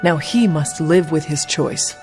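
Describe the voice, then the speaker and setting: A woman playing a stoic, formal warrior hero in a video game cutscene, speaking plainly and gravely. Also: deep voice, unhurried, darkish tone. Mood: grave, firm. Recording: long hall reverb, music behind.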